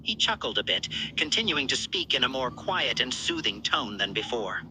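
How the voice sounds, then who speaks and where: A woman's voice: very deep voice, big pitch swings, normal speed, noisy background. A woman reading scripted fan fiction narration as the narrator.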